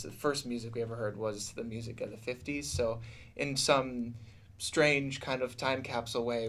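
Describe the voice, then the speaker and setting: A man's voice: medium pitch, slight background noise. A man in his thirties chatting earnestly and reflectively as a musician in a casual radio interview. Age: 30s. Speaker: musician, tribute duo singer. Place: small radio studio, indoors. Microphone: studio mic on boom arm.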